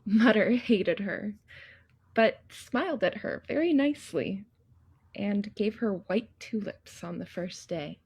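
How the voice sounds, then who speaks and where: A woman performing a wistful childhood memory as a theatrical poetic monologue, in a measured, husky, creaky voice. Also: medium pitch, animated, a little mellow, exaggerated voice.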